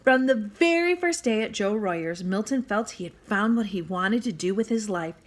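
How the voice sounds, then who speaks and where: A woman reading a children's book aloud as an expressive storyteller, speaking directly to young listeners. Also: medium pitch, moderate pace. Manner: animated, addresses young listeners with questions and asides.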